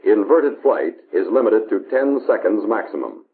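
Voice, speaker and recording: American male narrator, vintage 1950s training film: lowish voice, lively inflection, measured authority, narrow tinny soundtrack.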